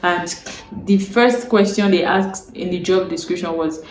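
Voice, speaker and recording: A woman's voice: fairly low pitch, measured pace, a little hiss or hum. Nigerian woman, young adult, career vlogger; earnest and repetitive, checks in with viewers; roomy echo, faint ambience behind. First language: Yoruba. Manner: earnest, repeats key points, checks in with viewers. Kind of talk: instructional talk to camera.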